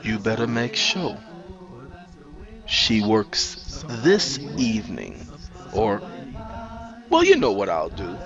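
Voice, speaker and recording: A menacing male crime boss from a dramatic story, acted out by a man. He is domineering and threatening, drawing his words out slowly. The recording is dull, with some music underneath. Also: high voice, big pitch swings, voice pushed up, exaggerated voice. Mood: cold threat.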